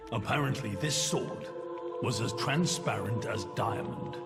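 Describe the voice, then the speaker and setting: An actor playing a solemn, regal monarch in a video game, speaking formally and gravely with weighty pauses. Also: male voice, medium pitch. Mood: solemn, measured. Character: solemn, regal male monarch burdened by his judgment. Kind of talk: dramatic video game cutscene acting. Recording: loud music behind the voice.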